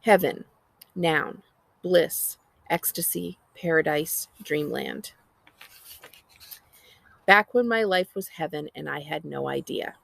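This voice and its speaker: An American woman, a school librarian, presenting and reading a book aloud in a clear, explanatory, expressive way. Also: sits low, slow pace, pauses often, no echo. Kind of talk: book talk and read-aloud.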